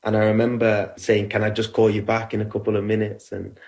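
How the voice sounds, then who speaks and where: Young English footballer, earnest and reflective in interview, lowish register. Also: male voice, level tone, brisk, gravelly, a little mellow. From England. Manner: reflective, heartfelt. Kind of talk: interview answers.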